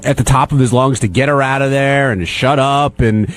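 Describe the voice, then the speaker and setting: A man's voice: medium pitch, fairly even intonation, brisk, no echo. A man hosting a casual radio talk show, prodding guests with questions.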